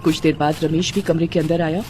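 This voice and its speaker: A woman's voice: deep voice, little pitch movement, brisk, darkish tone, slight background noise. A woman reading a scripted story as its narrator.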